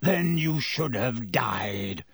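A man voicing a fierce, embittered gaunt man in an audiobook, harsh and croaky, with forceful accusing delivery. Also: mid-range voice, very animated, slow, dry recording, studio quality, exaggerated voice. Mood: righteous fury. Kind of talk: audiobook character voice.

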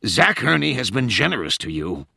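A man voicing a domineering female senior presidential advisor, raspy, stern and cutting, in a dramatized audiobook. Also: mid-range voice, very animated, normal speed, pushed and bright, dry recording, studio quality, voice pushed up. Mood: snapping, hostile.

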